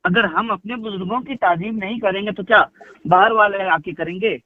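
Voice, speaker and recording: A man's voice: sits high, moderate pace, no echo. A man talking emphatically on a phone call, repeating phrases and posing rhetorical questions as a religious speaker. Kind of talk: phone conversation, explaining and declaring at length. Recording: muffled narrowband phone call recording.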